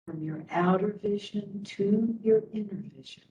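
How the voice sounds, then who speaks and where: A woman instructor leading a guided meditation in a soothing manner, speaking in short phrases with long pauses. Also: warm tone, lo-fi sound. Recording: roomy, distant mic, faint rumbling noise.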